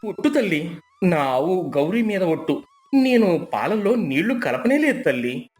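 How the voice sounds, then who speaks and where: A man's voice: sits high, sing-song, a little slow, a little mellow, faint music behind. A man playing a meek, honest, poor village milkman who pleads and protests in a moral story. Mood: pleading denial.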